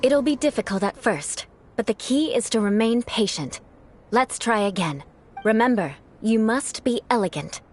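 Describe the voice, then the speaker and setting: A woman's voice: a little high, expressive, normal speed, slight background noise, lightly acted. Poised, lilting young noblewoman knight, acted by a woman. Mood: strict but patient. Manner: refined, formal, poised, haughty. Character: proud young aristocratic female knight, composed, instructive. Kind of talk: video game voice acting.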